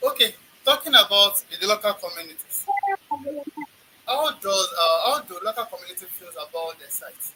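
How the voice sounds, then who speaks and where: A live stream host asking a guest brisk follow-up questions over a video call. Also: mid-range voice, pushed and bright, a little hiss or hum, rough recording. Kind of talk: interview question.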